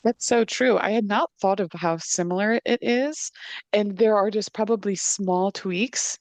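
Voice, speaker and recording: A woman's voice: mid-range voice, unhurried, darkish tone, no room sound. A woman in her thirties asking casual interview questions on a video call. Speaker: interviewer, podcast producer. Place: indoor room, video call. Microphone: computer video call, wearing headphones.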